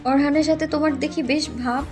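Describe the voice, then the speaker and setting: A woman's voice: high voice, steady tone, a little fast, lightly acted. A woman acting a haughty, blunt young rich woman in an audio story, curt and inquisitive. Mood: imperious. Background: music.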